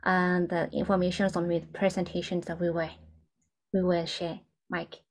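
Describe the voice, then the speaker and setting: A woman whose first language is Burmese, moderating an online class in halting English. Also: fairly low pitch, level tone. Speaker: webinar moderator and interpreter. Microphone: video call.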